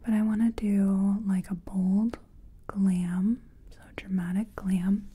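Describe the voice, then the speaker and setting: A woman playing a nervous makeup artist in an ASMR roleplay, speaking in a breathy whisper and murmuring hesitantly to herself as she works. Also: medium pitch, dark tone. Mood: fretful, focused. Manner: murmured, hesitant self-commentary while working. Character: nervous female makeup artist competing in a contest. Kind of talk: soft-spoken ASMR personal attention roleplay.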